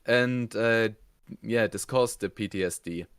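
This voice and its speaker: A man co-hosting a casual review, explaining things in a halting, conversational way. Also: fairly low pitch, a bit bright, clean tone, dry recording, clean studio sound. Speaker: review show co-host.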